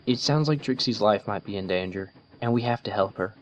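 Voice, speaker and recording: A man's voice: fairly low pitch, brisk, slight background noise, natural voice. A man voicing an earnest, principled young female unicorn pony who lectures her friend. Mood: firm.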